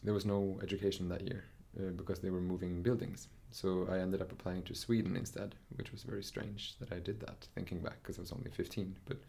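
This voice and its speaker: A young man from Norway talks in a low voice with a gravelly fry. He speaks thoughtfully and hesitantly, full of fillers and self-corrections, as he tells his story in a relaxed interview. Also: brisk, hardly any pauses. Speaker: dancer, interview guest. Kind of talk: casual interview talk.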